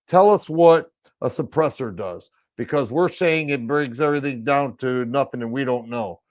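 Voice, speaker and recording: A middle-aged man from Michigan with a raspy voice, hosting a casual firearms livestream and chatting with viewers, rambling and restarting his sentences often. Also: medium pitch, darkish tone. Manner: rambling, with frequent stammered restarts. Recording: dull lo-fi stream audio.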